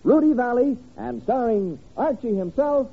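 A male radio announcer in the 1950s manner, high-pitched and widely inflected. His husky voice delivers formal, emphatic sales copy through a tinny, narrow old broadcast. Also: lively intonation, normal speed.

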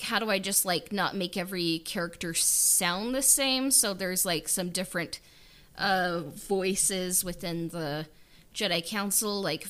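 Slow-talking woman with a bright voice. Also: fairly low pitch. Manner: hesitant and rambling, leans heavily on "like" and "you know". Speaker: writer and director of a fan audio drama being interviewed. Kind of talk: casual behind-the-scenes chat.

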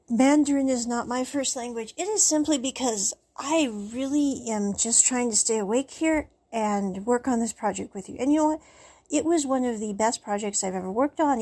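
A woman telling a casual personal story to the camera, chatty and animated, with frequent laughs. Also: a little high. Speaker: vlogger.

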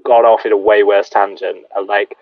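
A man's voice: lowish voice, flat delivery, normal speed, no echo. A man, chatting in a rambling, self-deprecating way full of fillers. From England, near Sheffield. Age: 30s. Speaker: podcast guest. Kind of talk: casual chat. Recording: dull lo-fi sound.

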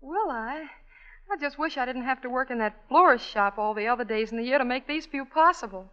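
A woman's voice: high voice, brisk, pushed and bright. A woman playing a sweet, hopeful young florist in a 1940s radio play, on a dull old broadcast recording.

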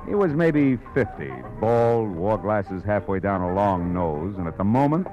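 A man's voice: lowish voice, animated, measured pace, muffled recording. A man acting a world-weary, wry private detective in the clipped style of 1940s radio noir. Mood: wry narrating. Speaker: narrator and lead of a detective drama. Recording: vintage radio recording with music.

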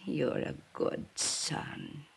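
A woman playing a vague, weary middle-aged mother in a classic radio drama, with a drifting, halting, apologetic manner. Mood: vague, fragile. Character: vague, secretive, weary alcoholic mother in a wheelchair. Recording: noisy old radio drama recording.

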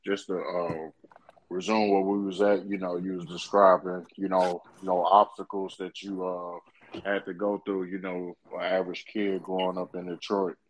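A man hosting a podcast asks casual interview questions haltingly, with lots of "uh" fillers, and calls his guest bro. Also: low-pitched, slight background noise, low-quality recording. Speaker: podcast host.

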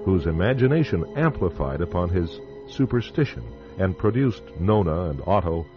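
Low voiced guy closing out a radio thriller, slow and ominous, muffled old broadcast. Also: sing-song. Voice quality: measured, ominous. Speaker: show host and narrator. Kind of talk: scripted radio narration. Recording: dull lo-fi radio broadcast with music.

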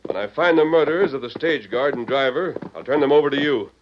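A bold cowboy hero voiced by a man, measured and authoritative, with a medium-pitched voice. He speaks in classic radio western style. The old recording is dull, with loud noise. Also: lightly acted. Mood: commanding. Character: steady, commanding masked cowboy hero, bold and righteous. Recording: muffled, noisy vintage radio recording.

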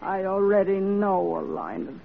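An actress playing a weary, bitter, cold woman caged in a madhouse, gravelly and terse, in an old-time radio drama. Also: mid-range voice, normal speed, lightly acted. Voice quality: creaky, gravelly. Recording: muffled, noisy vintage radio recording.

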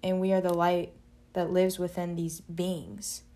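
Brief: young woman podcaster, low and level delivery, reflective spiritual musing. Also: flat delivery, unhurried, dark tone. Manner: searching for words, spiritual musing. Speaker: podcast host. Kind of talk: reflective solo podcast monologue.

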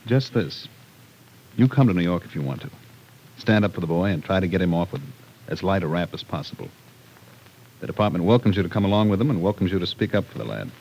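An actor playing a tough, matter-of-fact New York police detective, blunt and businesslike, in an old-time radio drama. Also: male voice, sits low, lively intonation, fast talker, long pauses, darkish tone. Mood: blunt, grave. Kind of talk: old-time radio soap opera acting. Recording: noisy old radio recording.

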